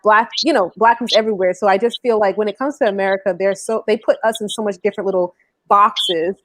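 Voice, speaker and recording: A woman's voice: mid-range voice, steady tone, fast talker, fairly bright tone, dry recording. A woman giving emphatic opinions as a panelist in a casual live discussion. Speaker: panelist on a live talk show.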